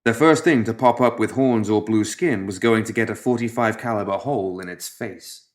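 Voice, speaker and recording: A man with a somewhat low voice narrates a piece of fiction. His delivery is scripted and measured, with a sense of drama. He lets some phrases fall away into a quieter, hushed close, as an audiobook storyteller does.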